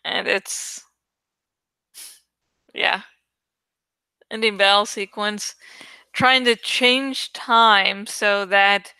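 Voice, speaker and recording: Bright, clear-voiced woman chatting playfully to viewers. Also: medium pitch, dry recording. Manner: playful, joking, swears casually, self-mocking. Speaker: host of a dramatic book-reading channel. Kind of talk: casual chatty outro addressed to viewers.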